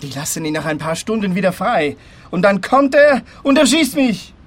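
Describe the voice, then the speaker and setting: An actor playing an agitated, frightened widower who complains excitably about money in a comic detective radio play. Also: male voice, high-pitched, moderate pace. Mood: anxious.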